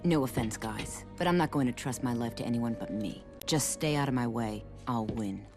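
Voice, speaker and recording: An actress playing a tough, distrustful racer in a video game, cool and blunt. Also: female voice, very deep voice, moderate pace. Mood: defiant. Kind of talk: video game cutscene acting. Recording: music behind the voice.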